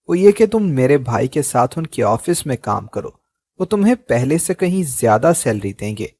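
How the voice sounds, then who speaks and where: A man's voice: on the high side, a little mellow, no room sound, clean studio sound. A man reading a stubborn, demanding wife. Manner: insistent, laying down terms.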